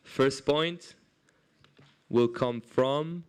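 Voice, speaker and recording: Clear-voiced man chairing a debate. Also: medium pitch. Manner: brisk, procedural, prone to restarts.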